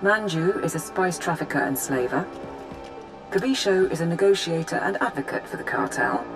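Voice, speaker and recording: A woman playing a brisk, efficient military operations coordinator giving businesslike briefings in a video game. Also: fairly low pitch, expressive. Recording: reverberant hall, music behind.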